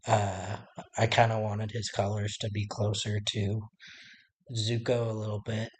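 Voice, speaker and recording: A man with a raspy voice, chatting casually and hesitantly to his live-stream viewers while he draws. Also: lowish voice, flat delivery, measured pace. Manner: rambling and hesitant, talking to himself while working. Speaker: artist livestreaming his drawing. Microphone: desk microphone.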